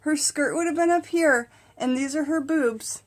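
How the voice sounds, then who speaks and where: High-voiced woman telling a casual story. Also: normal speed. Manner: animated, candid, conversational. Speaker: vlog host and storyteller. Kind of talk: casual comedic storytelling to camera. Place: small indoor space in front of a curtain.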